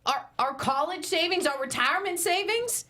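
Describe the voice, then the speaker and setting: A woman reading the part of an exasperated, sharp-tongued mother at a table read. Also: very high voice, lively intonation, moderate pace, exaggerated voice. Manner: incredulous, scolding. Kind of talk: table read of a comedy script.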